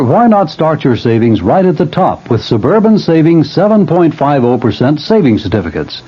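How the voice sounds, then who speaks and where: A man with a husky voice reading a scripted radio advertisement as a commercial announcer. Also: medium pitch. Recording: dull vintage radio recording.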